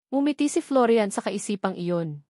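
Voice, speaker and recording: A woman's voice: mid-range voice, lively intonation, moderate pace, warm tone, no echo, clean studio sound. A woman reading a story aloud as a scripted audiobook narration.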